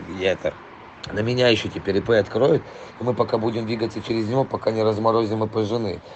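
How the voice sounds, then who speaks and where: A hoarse man rambling profanely in a casual voice message to a client, full of fillers. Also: fairly low pitch, a little mellow, slight background noise. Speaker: trailer import dealer.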